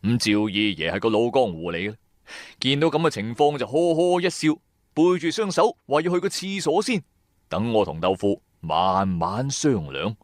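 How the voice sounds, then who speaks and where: A man's voice: mid-range voice, lively intonation, normal speed, no room sound, studio quality. A man with a Cantonese accent narrating a supernatural radio drama in the first person, dramatic and colloquial.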